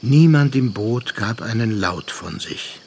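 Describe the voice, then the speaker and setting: A man narrating a sea tale in a German radio play, calm, grave and measured. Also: lowish voice, creaky, a little hiss or hum, full character voice. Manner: measured, sober.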